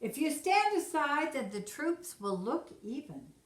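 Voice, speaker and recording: A woman's voice: high voice, sing-song, a little slow, pitched above the performer's own voice. Storybook bug sergeant, read by a woman: male soldier, firm yet sorry, raised volume, roomy echo. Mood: apologetic, firm.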